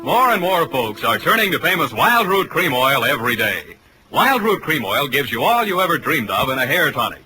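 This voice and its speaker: Lively American radio announcer, male. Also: mid-range voice, moderate pace, background noise. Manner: polished, scripted, salesmanlike. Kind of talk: 1940s commercial announcing and credits. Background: music.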